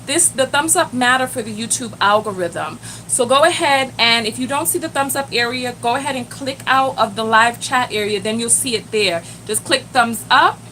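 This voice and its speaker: High-voiced woman hosting a live stream. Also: normal speed, few pauses, a little hiss or hum, poor audio. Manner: animated, addresses the chat directly, exclaims "Lord have mercy". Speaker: live-stream host and self-love coach. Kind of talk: casual live-stream chat with viewers.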